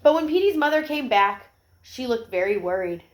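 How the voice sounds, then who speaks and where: A woman's voice: on the high side, animated, normal speed. A woman narrating a children's storybook aloud, with asides and questions to young listeners.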